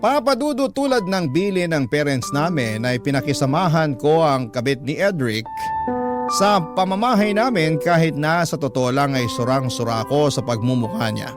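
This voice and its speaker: A male radio storyteller delivers scripted first-person narration in a dramatic style. His voice sits fairly high, with clear music playing behind it. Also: lively intonation, normal speed. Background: background music.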